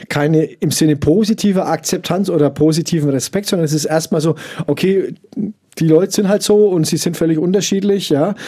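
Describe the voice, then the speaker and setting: A middle-aged man with a Franconian accent, answering interview questions in a measured way, often pausing with fillers as he searches for words. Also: on the high side, warm tone. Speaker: interview guest.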